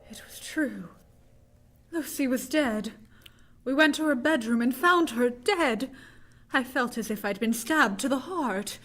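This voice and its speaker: A woman's voice: high voice, expressive, measured pace, a bit bright. A woman playing a frightened, grieving young heroine of gothic horror, dramatic and earnest, in vintage radio style. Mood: grief-stricken.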